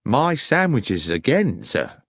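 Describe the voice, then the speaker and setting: A man's voice: mid-range voice, huge pitch swings, takes it slowly, no room sound, clean studio sound, pitched above the performer's own voice, full character voice. A man voicing an anxious, deferential working-class railway guard in an audiobook, earnest and defensive. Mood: uneasy. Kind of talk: audiobook character dialogue.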